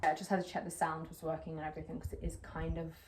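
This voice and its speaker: A woman's voice: low-pitched, little pitch movement, fast talker. Chatty young woman outdoors. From England. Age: young adult. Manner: rambling, filler-heavy, self-conscious. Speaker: plant vlogger. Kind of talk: casual chat to camera while repotting. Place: outdoors on a patio by a brick wall, a little windy. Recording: clear outdoor noise behind.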